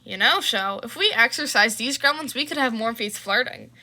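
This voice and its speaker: A woman's voice: on the high side, animated, moderate pace, pushed and bright, no room sound. A woman voicing a doting, playful father who soothes and fawns over the children in an audio fan drama. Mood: fond gushing. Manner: fawning, soothing.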